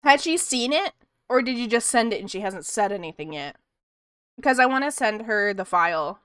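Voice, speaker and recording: A woman's voice: fairly high pitch, expressive, normal speed, a bit bright, smooth, no room sound, studio quality. A woman streaming her art, chatting casually and rambling with frequent laughs, in a soothing voice. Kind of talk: casual chat while drawing on stream.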